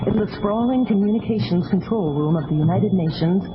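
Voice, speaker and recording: Low-voiced woman narrating a dramatic story. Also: a little fast, very noisy. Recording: dull old audiobook, loud music behind.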